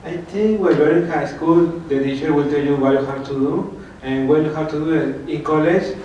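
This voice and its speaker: A man's voice: on the high side, moderate pace, warm tone, muffled recording. Young man with a Mexican accent. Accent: Mexican Spanish. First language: Spanish. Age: young adult. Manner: hesitant, simple phrasing. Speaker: student panelist. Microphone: handheld mic. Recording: echoey room from far off, faint noise.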